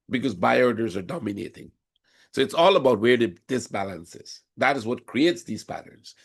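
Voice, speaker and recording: A man with a cold and a hoarse voice, explaining chart patterns step by step during a live trading session. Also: lowish voice. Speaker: forex trading instructor. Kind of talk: live trading room commentary.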